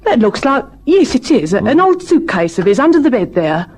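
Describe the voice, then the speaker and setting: A woman's voice: fairly high pitch, big pitch swings, fairly quick, darkish tone. A woman playing a tart, offhand boarding-house landlady in a vintage radio drama. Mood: offhand, curious.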